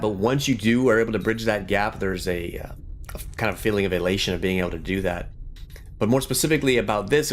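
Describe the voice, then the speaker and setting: A man's voice: on the low side, slight background noise. A middle-aged man giving casual, reflective gaming commentary in an earnest, rambling way. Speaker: gaming YouTuber.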